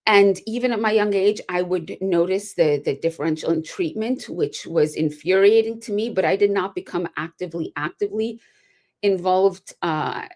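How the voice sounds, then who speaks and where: A woman speaking as a panelist over a video call, earnest and measured, with rhetorical asides. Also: fairly low pitch, darkish tone. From United States. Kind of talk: prepared remarks in an online panel.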